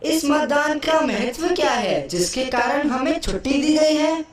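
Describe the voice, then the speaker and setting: A woman's voice: high-pitched, expressive, unhurried. A woman playing an earnest schoolchild in a puppet show, speaking in a declamatory way. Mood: curious. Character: earnest schoolchild persuading elders. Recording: very echoey hall, distant mic.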